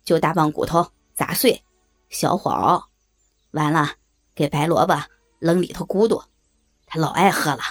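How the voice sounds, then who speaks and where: A woman doing a gruff, coarse northeastern village man. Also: unhurried, long pauses, no echo, clean studio sound, soft background music. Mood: offhand. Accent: Northeastern Chinese. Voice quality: gruff, low put-on voice. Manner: blunt, domineering. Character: coarse pushy village man, middle-aged.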